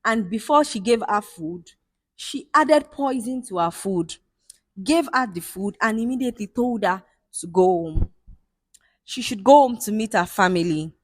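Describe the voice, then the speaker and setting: A woman's voice: mid-range voice, lively intonation, measured pace, dry recording. A woman with a Nigerian accent narrating a folktale in a plain retelling style, now and then repeating herself. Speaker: storyteller.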